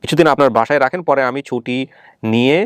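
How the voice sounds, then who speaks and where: Fast-talking man hosting an interview, dry sound. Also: mid-range voice, clear, resonant tone, no room sound. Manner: methodical, questioning, repeats points to confirm. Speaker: host of a family reunion show. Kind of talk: probing interview, reading out and summarizing testimony.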